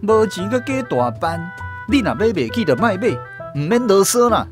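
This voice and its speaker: An exasperated Taiwanese husband played by a woman in a radio drama. The delivery is quarrelsome and swings up and down over clear background music. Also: medium pitch, sing-song, normal speed, natural voice. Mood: irritated.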